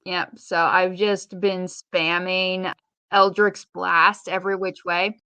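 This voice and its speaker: A woman's voice: lowish voice, flat delivery, slow, bright, forceful tone, clean tone, no room sound. A woman co-hosting a casual podcast, chatty and opinionated, with wry, rambling asides. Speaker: podcast co-host.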